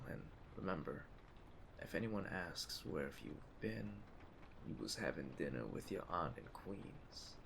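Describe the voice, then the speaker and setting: Man playing a deep, gravelly mafia don. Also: heavily acted. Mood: firm, commanding. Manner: measured, authoritative, streetwise. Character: calm, commanding aging mafia boss giving orders. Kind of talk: ASMR roleplay. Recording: clear ambient storm noise behind. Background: storm ambience.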